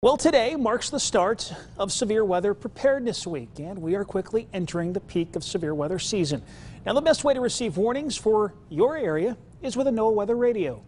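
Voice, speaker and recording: A man's voice: high voice, lively intonation, a little fast, darkish tone, a little hiss or hum. A man presenting weather safety advice as a TV forecaster, in a conversational broadcast style.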